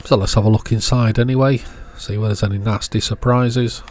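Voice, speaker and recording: A man's voice: on the low side, steady tone, a little fast, darkish tone, soft background music. A man giving casual, hands-on repair narration as a video host, in a matter-of-fact, understated way.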